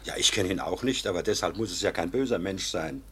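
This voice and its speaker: A man's voice: low voice, fairly quick, bright, forceful tone, slight background noise. An actor playing a kind, fatherly older nobleman and judge in a radio drama, speaking patiently and reassuringly. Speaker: legal official and guardian.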